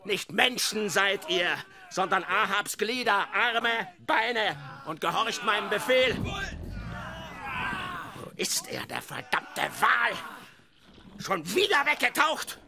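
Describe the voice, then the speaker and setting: An actor playing an obsessed, commanding whaling captain in a German radio play, theatrical and gravelly, swinging from mutters to bellowed orders. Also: male voice, sits high, animated, slow, noisy background, exaggerated voice. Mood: fierce, commanding.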